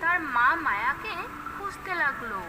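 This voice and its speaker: A woman's voice: high voice, sing-song, moderate pace, far from the mic, noisy background. A woman narrating a scripted children's cartoon story. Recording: echoey, loud music behind.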